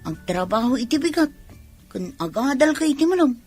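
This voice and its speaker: High-voiced doubtful young factory girl played by an actress. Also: sing-song, brisk, darkish tone, soft background music. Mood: doubtful, curious. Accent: Ilocano. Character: doubtful young female factory worker who quit school. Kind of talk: radio drama acting.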